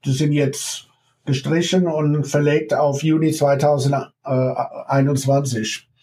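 A middle-aged man from the United States with an American accent, a ballet teacher talking as an interview guest in halting German full of pauses and small laughs. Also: fairly high pitch, fairly even intonation, mellow, slight background noise. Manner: halting German with many ums and frequent laughs. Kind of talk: casual interview over remote connection.

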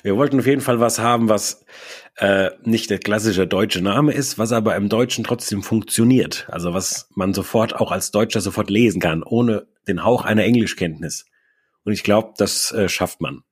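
A man's voice: fairly low pitch, moderate pace, husky. A man from Germany, a band musician, answering interview questions in an easygoing way and laughing readily. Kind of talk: casual interview chat.

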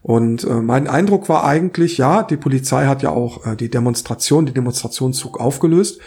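A man with a rough, hoarse voice from a cold, co-hosting a podcast and giving his opinions in an earnest, emphatic way. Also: medium pitch, fairly quick, darkish tone. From Saarland, Germany. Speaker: podcast co-host. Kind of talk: opinionated political podcast talk.

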